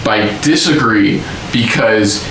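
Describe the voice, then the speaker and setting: Very echoey bright-voiced man, opinionated chatter. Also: fairly low pitch, takes it slowly. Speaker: co-host of a reaction show. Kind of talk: casual game trailer discussion. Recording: very echoey room, distant mic, faint noise.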